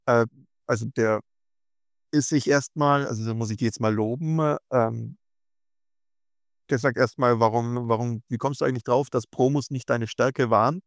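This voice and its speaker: A man's voice: medium pitch, fairly even intonation, moderate pace, no room sound, clean studio sound. A man hosting a podcast interview, asking listener questions hesitantly with many fillers. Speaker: podcast host.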